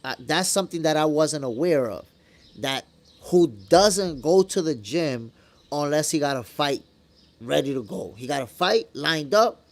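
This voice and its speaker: A man with a fairly high voice and a New York accent talks boxing on a live stream. He is conversational and slangy, speaking straight to his viewers like friends as he gives his opinions. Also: lively intonation, a little slow. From New York, after moving from the Dominican Republic at eight. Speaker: boxing show host. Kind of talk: casual live-stream commentary, answering viewer comments.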